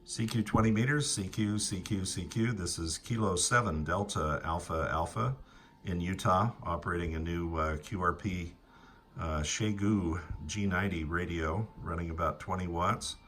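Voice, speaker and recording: A middle-aged American man chatting casually as an amateur radio operator, with a halting, pause-filled delivery. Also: deep voice, fairly bright tone. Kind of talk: casual ham radio conversation.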